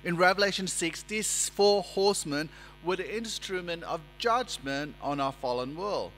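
A man in his forties preaching a sermon at a lectern in a church, speaking in a measured, emphatic way and gesturing as he talks. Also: sits high, expressive, fairly bright tone. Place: church sanctuary, indoors. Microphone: lectern microphone. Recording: reverberant church hall with mains hum.

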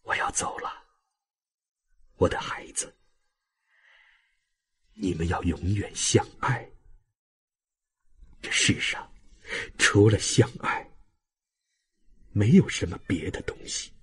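A man voicing a weak, dying old man in an audiobook, tender and remorseful, with a breathy, halting voice. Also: fairly low pitch, animated, voice pushed down, exaggerated voice. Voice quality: husky, breathy, whispering. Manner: halting, emotional, fading speech. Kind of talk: audiobook character acting.

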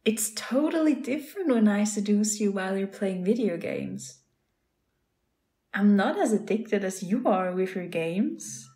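A woman's voice: mid-range voice, animated, unhurried, mellow, lightly acted. A woman playing a playful, game-obsessed young girlfriend in an ASMR roleplay, talking straight to the listener. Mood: playful, pleading. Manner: playful, pleading, talks to the listener as "babe".

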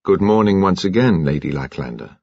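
A man voicing a calm, courteous but firm English police detective in an audiobook, quiet and measured. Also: fairly low pitch, husky, no room sound, dull audio.

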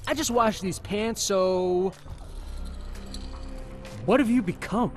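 A man's voice: high-pitched, slow, soft background music. A young man playing a cocky, wisecracking ninja warrior in a video game. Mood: defiant. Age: young adult. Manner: glib, wisecracking. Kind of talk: video game fight banter.

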